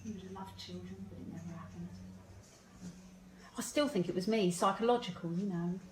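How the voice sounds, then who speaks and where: A woman's voice: on the low side, brisk, background noise, close to an everyday voice. Woman acting wistful middle-aged mother, distant roomy recording. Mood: resigned, confiding. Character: middle-aged birth mother, wistful, anxious, devoted to her secret daughter. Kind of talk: amateur stage-play acting, naturalistic dialogue.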